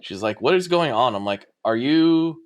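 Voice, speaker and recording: A relaxed man hosting a guitar podcast. He talks in a chatty, easygoing way, laughs often, and keeps to a middling pitch. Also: normal speed, clear, resonant tone. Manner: laid-back, chatty, laughs easily. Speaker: podcast host. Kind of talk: casual chat.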